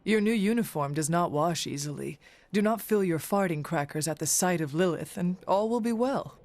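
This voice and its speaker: A woman's voice: fairly low pitch, animated, moderate pace, darkish tone, no room sound. A woman playing an earnest, formal warrior from centuries ago in a video game. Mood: dry, earnest. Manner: formal, stiff, no contractions.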